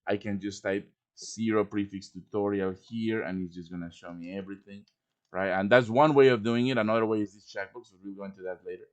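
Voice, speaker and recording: Male tutorial presenter, mid-pitched, informal and step-by-step, tossing in casual asides as in a live stream. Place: live-streamed voice chat with screen share.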